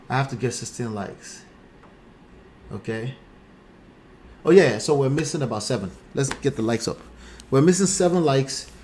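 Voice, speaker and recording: A man's voice: medium pitch, slight background noise. Chatty Nigerian man teaching live. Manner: casual, chatty, repeats himself, pleads with viewers. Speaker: online marketing coach. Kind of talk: live-streamed screen-share lesson. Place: small dark room with purple lights.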